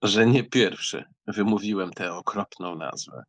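Male actor as young count, lowish voice, slow, polite, ironic, audiobook drama. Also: animated, heavily acted. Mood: playfully protesting. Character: proud young German count, courteous and ironic. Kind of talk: acted audiobook dialogue.

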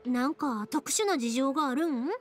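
A woman's voice: high voice, normal speed, faint music behind, close to an everyday voice. A woman voicing a cheerful young fireworks maker in a game, talking in a breezy, friendly Kansai dialect. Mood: upbeat. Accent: Kansai Japanese.

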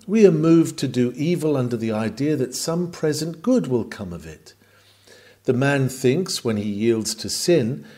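Male sermon reader, medium pitch, mellow tone, oratorical delivery of Victorian prose with weighted pauses. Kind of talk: dramatic sermon reading of a Victorian text.

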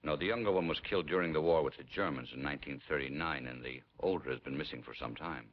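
Casting: weary middle-aged political prisoner, extremely low husky voice, restrained delivery, vintage film drama. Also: male voice, fast talker. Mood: guarded, grave. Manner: restrained, terse, dignified. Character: weary, guarded middle-aged Polish underground leader held prisoner. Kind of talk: 1950s film acting. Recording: dull 1950s film soundtrack.

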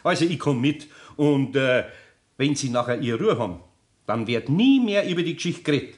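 A man's voice: medium pitch, animated, moderate pace, close to an everyday voice. Man playing homely bavarian craftsman, grumbly but kind, very up and down, echoey old radio play. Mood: matter-of-fact. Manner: homely, plain-spoken, patient. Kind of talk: 1960s German radio play acting. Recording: echoey 1960s radio play hall sound.

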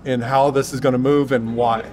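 A man in his fifties with a Northern Californian accent, a builder calmly explaining technical details outdoors at a construction site. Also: medium pitch, normal speed. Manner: steady, technical, explaining step by step. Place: outdoor construction site by a basement form wall.

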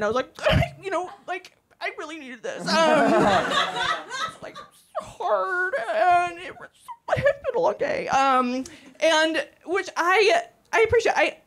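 A woman's voice: sits high, fairly bright tone. A young woman comic from Atlanta, talking casually on a club stage into a handheld mic and laughing through her lines. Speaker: stand-up comic. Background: crowd.

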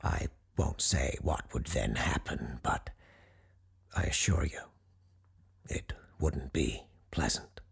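An actor playing an eerie, learned radio host who tells supernatural tales in a measured, ominous way with deliberate pauses, his voice husky and gravelly. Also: a bass voice, in a whisper, full character voice. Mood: ominous warning. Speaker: paranormal advice show host. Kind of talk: scripted dramatic storytelling.

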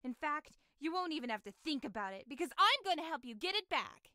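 High, animated young dragon heroine. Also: female voice, fairly quick. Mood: determined. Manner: earnest, emphatic. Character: spirited, determined young dragon girl heroine. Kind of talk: video game cutscene acting. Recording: game music over the voice.

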